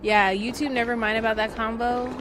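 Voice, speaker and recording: A woman streaming a game, chatting casually with viewers in halting, repeated half-phrases and exclamations. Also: fairly high pitch, flat delivery. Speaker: live streamer playing a game. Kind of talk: casual livestream chat with viewers. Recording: game music behind the voice.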